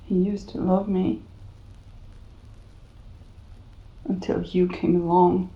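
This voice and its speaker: A woman playing a desperate, unstable mother in an audio drama, halting, pleading and tearful. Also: fairly low pitch, level tone, roomy sound, dull audio, natural voice. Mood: bitter despair. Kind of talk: cinematic audio drama acting.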